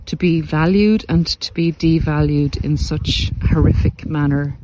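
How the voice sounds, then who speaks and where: Man, slightly high voice, earnest coaching monologue, deliberate phrasing, outdoor recording, noticeable country background noise. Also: fairly even intonation. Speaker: podcast host and narcissistic abuse coach. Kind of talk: reflective spoken monologue to listeners. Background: country sounds.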